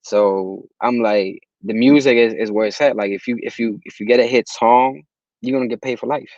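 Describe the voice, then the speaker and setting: A young man from Puerto Rico, a guest in a casual interview, talking in relaxed slang with many restarts. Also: mid-range voice, moderate pace, no room sound, muffled recording. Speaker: guest, music distributor.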